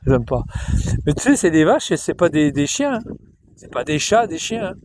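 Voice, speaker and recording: High-voiced chatty man in a cow pasture. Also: big pitch swings, a little fast, noisy background. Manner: chatty, repetitive, coos to the cows in a high sing-song voice. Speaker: vlogger filming cows. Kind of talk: casual rambling talk to camera.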